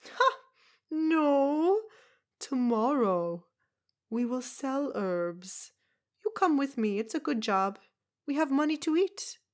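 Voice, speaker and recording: A woman's voice: high voice, sing-song, slow, pauses often, pitched above the performer's own voice, exaggerated voice. A woman voicing a tiny, brusque foreign herbalist woman who speaks in clipped broken English with a foreign accent. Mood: gruff, pushy. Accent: foreign, unplaced.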